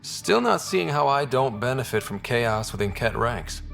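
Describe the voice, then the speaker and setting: Young space explorer hero in a video game, normal mid pitch, wary but principled, talks plain, faint music. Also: male voice, very animated, moderate pace, creaky, fairly bright tone. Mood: wary, defiant. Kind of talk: video game character acting.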